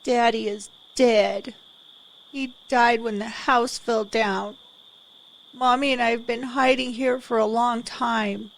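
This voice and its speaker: Audio drama child, female performer as eight-year-old girl, plain-spoken and guarded, high voice, very slow pace. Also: fairly even intonation, gravelly, rough recording. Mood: sad, matter-of-fact. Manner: simple, childlike, slow delivery.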